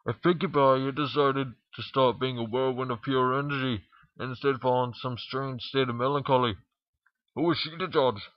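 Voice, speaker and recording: A man's voice: mid-range voice, fairly even intonation, normal speed, no echo, muffled recording. A man reading a story aloud in an informal amateur narration, stumbling now and then. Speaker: fan fiction reader.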